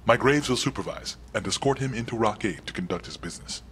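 A crime boss's unflappable adviser in a game drama. He talks fast in a lowish voice, with clipped, formal, deadpan phrasing. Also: slight background noise. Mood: composed. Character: unflappable, formal male right-hand man to crime boss. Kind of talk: cinematic game dialogue.

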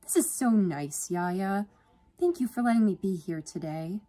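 A woman reader puts on a gushing young woman from a romance audiobook, the dramatic, endlessly cheerful older sister. Her voice jumps high and squeaky, full of overenthusiastic warmth. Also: sing-song, measured pace, slightly rough, exaggerated voice. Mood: gushing delight. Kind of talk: audiobook character voice in a romance novel.

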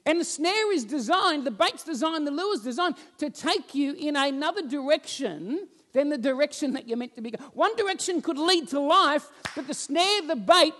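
A man, preaching a sermon on a church stage and telling stories with lively gestures while he walks about. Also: very high voice, moderate pace. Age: 40s. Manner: animated storytelling, roams the stage gesturing. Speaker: pastor. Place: church stage with band instruments, indoors.

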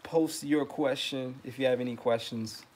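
A man's voice: mid-range voice, normal speed. Man in his thirties, laid-back livestream chat, repeats himself, ums a lot, home webcam mic. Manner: relaxed and friendly, repeats words, many fillers. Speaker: trumpet teacher and livestream host. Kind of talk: casual livestream talk. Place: living room at home.

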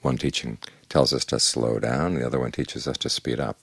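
An older man gives an evening meditation talk with calm, measured patience. His voice sits extremely low, with a gravelly, husky grain. The sound is close and dry, with no room around it. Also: no room sound. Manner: calm, measured, plain-spoken teaching. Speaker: Buddhist monk giving a Dhamma talk.

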